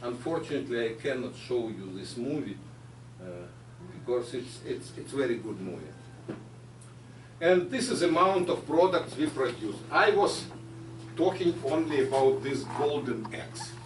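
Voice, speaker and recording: Man, Ukrainian accent, halting lecture, far from the mic, roomy hall, faint hiss. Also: mid-range voice, expressive. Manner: halting non-native phrasing with frequent fillers. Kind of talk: public science lecture.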